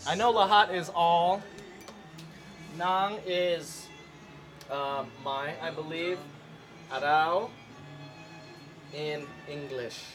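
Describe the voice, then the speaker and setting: A young man with a voice pitched a bit high for a guy, chatting in an easygoing, slang-heavy way and swearing now and then as he cooks. Music plays clearly in the background. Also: drawn-out words, long pauses, a bit bright. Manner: laid-back, slangy, swears freely. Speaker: co-host cooking on the show. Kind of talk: casual chat while cooking. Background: music playing.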